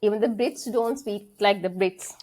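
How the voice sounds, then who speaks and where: A Sri Lankan-accented woman in middle age speaks as a guest lecturer, earnest and reflective. She pauses often to gather her thoughts, restarting phrases mid-stream. Her pitch and delivery sit at an ordinary middle level. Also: darkish tone. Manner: earnest, thinking aloud with frequent fillers and restarts. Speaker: senior English lecturer, guest. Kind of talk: conversational interview.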